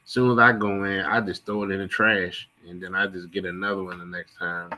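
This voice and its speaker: A man's voice: sits low, level tone, brisk, sharp tone, a little hiss or hum, low-quality recording. A man from the DC and Maryland area asking curious questions on a group video call. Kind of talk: casual group chat on a call.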